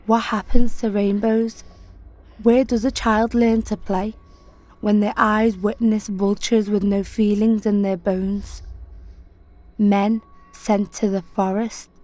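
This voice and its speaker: A woman poet giving a slow, measured, solemn spoken-word poetry reading. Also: medium pitch, little pitch movement, dark tone, dry recording.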